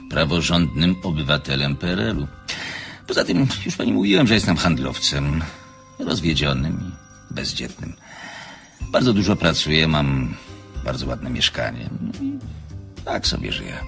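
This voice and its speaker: Flirting gentleman, audio drama, gravelly bass, animated intonation, courteous, amused. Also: moderate pace, faint music behind, heavily acted. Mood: teasing, calm. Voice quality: very deep, gravelly. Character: smooth-mannered divorced businessman, amused, gently flirting. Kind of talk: Polish audio drama dialogue.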